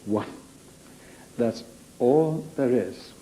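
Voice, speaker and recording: Very dark-voiced man, slow and halting. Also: mid-range voice, a little hiss or hum, rough recording. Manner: halting, emphatic, repeats phrases for weight. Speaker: interviewee giving a personal account. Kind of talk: unscripted personal testimony.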